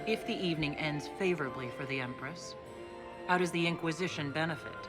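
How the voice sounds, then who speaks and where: A woman's voice: low-pitched, fairly quick, close to an everyday voice. An actress voicing the female leader of a holy order in a video game, speaking directly and inquisitively. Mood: questioning. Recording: game dialogue over loud music.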